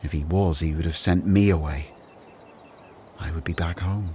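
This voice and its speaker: Guy playing a tired grumbly biblical disciple, low voice, radio drama, noisy ambience behind. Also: steady tone, normal speed, mellow, lightly acted. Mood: brooding, resentful. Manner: brooding, earnest, self-doubting. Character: weary, anxious, grumbling former tax collector turned disciple. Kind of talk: radio play acting.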